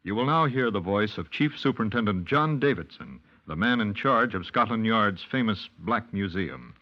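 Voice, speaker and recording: A man's voice: fairly low pitch, lively intonation, normal speed. A British man making a formal scripted announcement as the announcer of a 1950s radio programme. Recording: dull 1950s radio recording.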